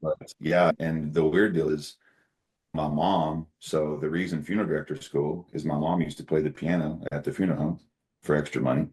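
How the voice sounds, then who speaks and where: A middle-aged man with a very deep bass voice, a company CEO telling candid, folksy stories with self-deprecating humor in an interview. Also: flat delivery, normal speed, darkish tone. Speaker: CEO guest. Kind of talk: casual interview conversation.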